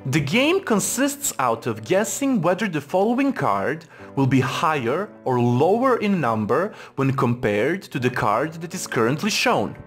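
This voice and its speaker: A man reading a scripted instructional narration in a formal, measured manner. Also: fairly high pitch, big pitch swings, faint music behind. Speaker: tutorial narrator. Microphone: voice-over for screen recording.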